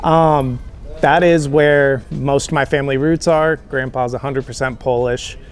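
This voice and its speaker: Relaxed friendly man answering interview questions. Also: mid-range voice, measured pace. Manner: friendly, relaxed, frequent ums and light laughs. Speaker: healthcare recruiter, interview guest.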